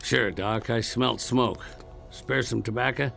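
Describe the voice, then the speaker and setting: Gravelly, defiant Old West outlaw. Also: male voice, mid-range voice, steady tone, measured pace, a bit bright, soft background music. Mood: curious, wary. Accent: American Western drawl. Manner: folksy, plain-spoken frontier talk. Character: hard, defiant Old West outlaw killer, unrepentant and wary. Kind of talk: radio drama acting.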